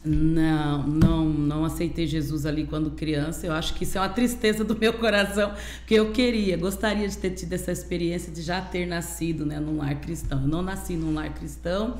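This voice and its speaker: A woman's voice: fairly low pitch, darkish tone, roomy sound, a little hiss or hum. A woman in her forties from Pernambuco, a guest pastor telling her personal testimony candidly in a podcast conversation. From Pernambuco, Brazil. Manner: earnest, candid storytelling.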